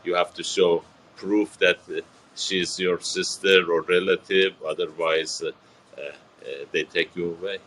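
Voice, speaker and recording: A man, answering a TV reporter's questions in a halting, earnest way with many fillers and restarts. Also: low voice, level tone, fairly bright tone, slight background noise, poor audio. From Iran. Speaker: interviewee. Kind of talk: TV news interview.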